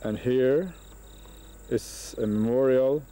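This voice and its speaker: A man narrating a home travel video outdoors by a lake in a slow, halting way, recorded on a camcorder. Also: medium pitch, dark tone. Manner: slow, halting commentary with pauses.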